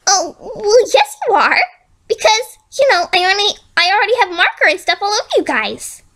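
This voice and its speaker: A manic female pony villain from a storybook, acted out by a woman reading aloud. She is high and girlish, with big swings in pitch. She sounds bubbly and chatty while being casually menacing. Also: sing-song, normal speed, sharp tone, exaggerated voice. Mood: gleefully menacing. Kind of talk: amateur storybook fan fiction reading.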